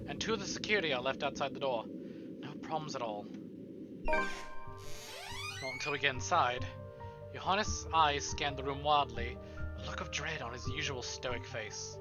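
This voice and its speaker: A man streaming a visual novel, expressive and informal as he chats and reads aloud. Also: fairly high pitch. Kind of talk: casual livestream chat and reading visual novel narration aloud. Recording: slightly dull livestream audio. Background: game music.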